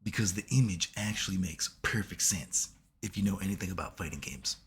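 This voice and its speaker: Low, gravelly hoarse man. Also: fairly quick, slight background noise. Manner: keeps asking "right?" as he lectures, swears casually. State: hoarse from being sick. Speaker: YouTube video host. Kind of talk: casual analytical commentary.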